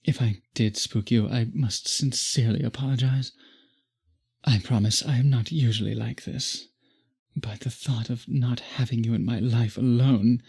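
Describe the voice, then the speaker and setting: A man voicing a courtly, possessive vampire in an intimate roleplay audio, with formal, florid, beseeching speech and a breathy voice. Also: medium pitch, darkish tone. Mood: possessive, uneasy. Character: courtly, obsessive, possessive male vampire pleading with the listener.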